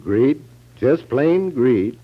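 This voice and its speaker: Cool shrewd radio sleuth, deliberate, firm, 1940s drama, dull vintage recording. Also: male voice, medium pitch, lively intonation, breathy edge, exaggerated voice. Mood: matter-of-fact. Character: cool, shrewd private detective, accusing and sure.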